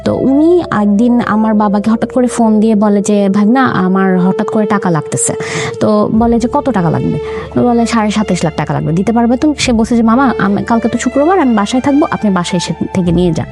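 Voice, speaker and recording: A woman's voice: mid-range voice, fairly quick. A young woman in an interview, earnestly recounting her family's story and quoting her parents' words as she goes. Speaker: interview guest. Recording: music bed under the voice.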